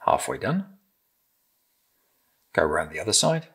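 A middle-aged British man with a low, slightly husky voice presents a craft demonstration. His delivery moves up and down with lively intonation as he gives simple, encouraging step-by-step instructions in a gentle manner. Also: measured pace. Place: indoor room with timber-framed walls, at a table.

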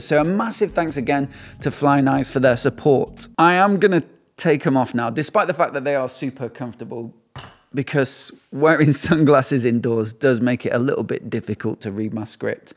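A man, hosting an upbeat scripted motorcycle review and sponsor read at a studio desk mic. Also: fairly high pitch. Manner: upbeat, lively scoring delivery. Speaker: motorcycle channel host. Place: small indoor studio with shelves. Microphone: desk mic on stand in front of him.

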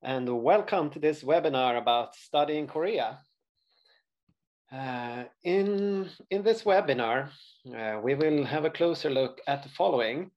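A man in his forties from Sweden with a Swedish accent, presenting a study abroad webinar in an earnest way, often pausing with uh and restarting words. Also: a little high, dull audio. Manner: earnest, frequent uh fillers and restarts. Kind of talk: webinar presentation over slides.

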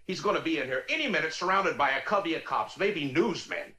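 An actor playing a burly, domineering middle-aged campaign manager in a crime drama, brusque, bullying and sarcastic. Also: male voice, on the high side, lively intonation, fairly quick, sharp tone. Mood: bullying, impatient.

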